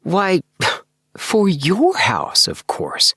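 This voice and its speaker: Man playing storybook oddball young man, earnest, dreamy, fussy, raised voice, animated swings, dry sound. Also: high voice, very slow, breathy edge, no room sound, pitched above the performer's own voice, heavily acted. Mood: anxious. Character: eccentric, earnest, dreamy young man obsessed with his future house. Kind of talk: storybook character voice.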